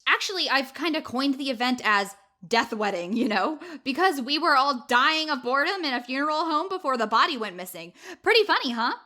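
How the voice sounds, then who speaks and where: Woman as a flippant, bright, squeaky-high videographer. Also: lively intonation, fairly quick, few pauses. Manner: casual, filler-heavy "like" speech. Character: flippant young female wedding videographer. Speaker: actor in an audio drama. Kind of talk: audio drama acting.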